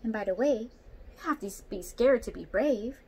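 A woman's voice: on the high side, expressive, normal speed, mellow, breathy edge. A young woman voicing a lonely, earnest storybook python who speaks firmly and confidently. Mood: firm, reassuring. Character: lonely, earnest python seeking a brave friend. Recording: noisy home room recording.

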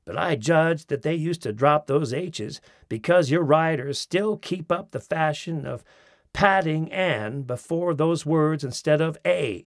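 Gravelly-voiced man reading wryly. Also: fairly high pitch, measured pace, no room sound. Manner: mimics accents in quoted phrases, dry wit. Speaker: audiobook narrator. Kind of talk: expressive reading of a humorous essay. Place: studio. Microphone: close studio mic.

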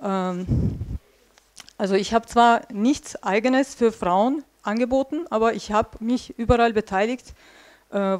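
Woman in her forties, relaxed conference speaker on a headset mic, lots of filler sounds, middle pitch. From Turkey. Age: 40s. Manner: chatty, many filler sounds, drawing on a flip chart while talking. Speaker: speaker at a tech policy evening. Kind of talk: informal conference talk. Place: small dim event room indoors.

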